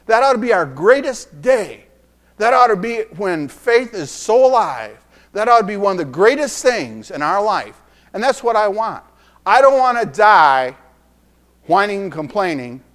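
Earnest preacher man with a higher voice. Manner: earnest, repeats phrases, swings between soft and emphatic. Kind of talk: sermon. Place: church.

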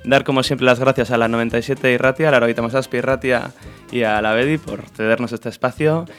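A man's voice: medium pitch, fairly even intonation, fast, fairly bright tone, faint music behind. A man with an accent from Spain, hosting an informal community radio interview. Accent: Spanish, from Spain.

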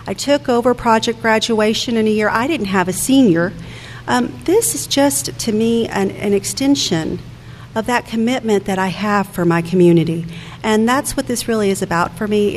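An older woman speaking earnestly and plainly as a local candidate at a public forum. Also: medium pitch. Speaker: city council candidate. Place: public forum room at a school. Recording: reverberant hall, noticeable background noise.

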